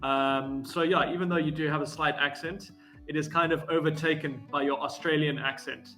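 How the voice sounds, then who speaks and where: Man English tutor, flat and a bit high, measured feedback. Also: faint music behind. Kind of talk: casual chat.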